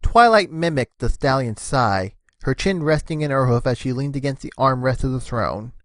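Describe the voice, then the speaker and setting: A woman giving an expressive, scripted narration of a story. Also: a bass voice, moderate pace, no room sound.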